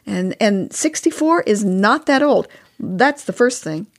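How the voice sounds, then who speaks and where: An older woman talks casually as a podcast guest, sharing advice. Her voice sits at an average pitch for a woman. She comes across as earnest and emphatic, stressing what she believes. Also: animated, a little slow. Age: older, past sixty-four. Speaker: podcast guest, author and co-host spouse.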